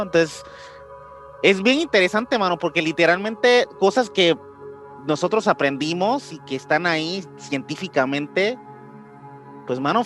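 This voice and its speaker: A man's voice: a little high, a bit bright. A man with a Mexican accent chatting casually on a podcast in slangy speech, repeating words as he thinks aloud. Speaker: podcast co-host. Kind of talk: casual conspiracy podcast chat.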